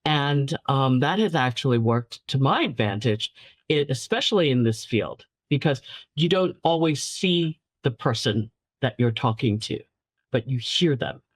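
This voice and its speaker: A woman's voice: extremely low pitch, expressive, a little slow, no echo. Articulate woman with dry close sound. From New York City. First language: Chinese. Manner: warm storyteller, repeats words for emphasis, laughs lightly. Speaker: guest filmmaker. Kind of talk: casual interview talk.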